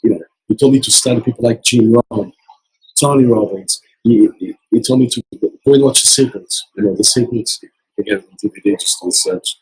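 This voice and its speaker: A man giving an earnest motivational account as an interview guest, hoarse from losing his voice. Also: mid-range voice, fairly quick, rough recording. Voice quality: very husky. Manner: earnest, repetitive motivational talk. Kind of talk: casual interview. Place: casino resort event.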